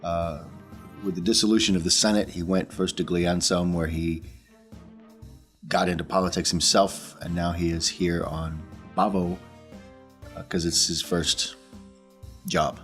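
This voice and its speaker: A man's voice: deep voice, steady tone, unhurried, long pauses, soft background music. A man talking casually as a player on a tabletop role-playing podcast. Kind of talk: casual character introduction and table talk.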